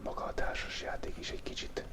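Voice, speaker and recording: A young man whispering close to the microphone in a relaxed, joking way, rambling as he draws. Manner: whispering throughout, joking and rambling while drawing. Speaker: ASMR creator drawing a goblin. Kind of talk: whispered ASMR drawing chat. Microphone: close ASMR microphone.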